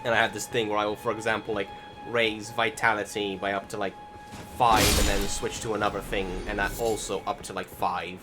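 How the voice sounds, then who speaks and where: Male game commentator, lower register, casual and meandering delivery with hesitations, clear music bed underneath. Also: little pitch movement, a little fast. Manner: rambling, hesitant, swears casually. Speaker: gaming video commentator. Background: game music behind the voice.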